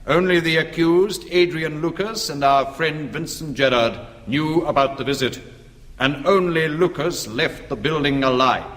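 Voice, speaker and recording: An actor playing a formal, courteous and measured British gentleman in a radio drama, smooth and sinister. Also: fairly high pitch. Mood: coolly accusing.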